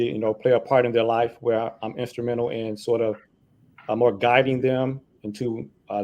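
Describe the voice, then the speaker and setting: A middle-aged man from Michigan with an American accent, talking politely and in a measured way as a panel guest. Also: mid-range voice, darkish tone. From Saginaw, Michigan, USA. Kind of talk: polite conversational introduction.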